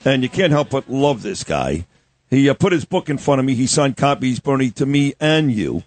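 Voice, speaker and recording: A man's voice: mid-range voice, big pitch swings, moderate pace. A man hosting a morning show, introducing a guest in a rambling, chatty way. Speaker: radio show host.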